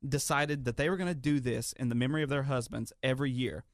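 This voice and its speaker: A man's voice: medium pitch, fairly even intonation, fast, no echo. A man with an American accent talking on air as a radio host in a polished, conversational way.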